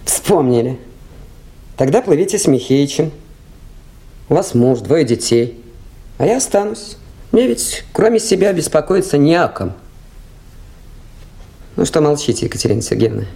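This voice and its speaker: A woman's voice: low-pitched, very animated, moderate pace, creaky, pauses often, mellow, heavily acted. An actress playing a stubborn, plain-spoken river woman in a radio play, with a blunt, dry, defiant delivery. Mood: defiant, challenging. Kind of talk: 1980s radio play acting. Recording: faintly noisy 1980s radio drama recording.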